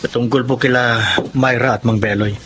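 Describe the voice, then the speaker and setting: An actor playing a conscientious young grown son in a Filipino radio drama, respectful and earnestly pleading. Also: male voice, mid-range voice, steady tone, moderate pace, a bit bright, slight background noise, natural voice.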